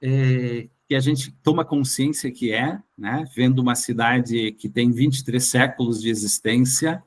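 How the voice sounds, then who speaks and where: A man with a Brazilian accent and a mid-range voice tells of his own experiences as a guest. He speaks in a halting way, repeating and rephrasing words as he goes. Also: medium pitch, steady tone, a little hiss or hum. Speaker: interview guest. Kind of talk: recounting personal experiences in an interview.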